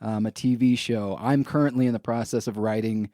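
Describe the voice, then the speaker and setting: A man with a warm, dark-toned voice of medium pitch, recorded very dry. He hosts a podcast in an easygoing, informal chat style. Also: fairly even intonation, fairly quick, no room sound. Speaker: podcast host. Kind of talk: casual chat.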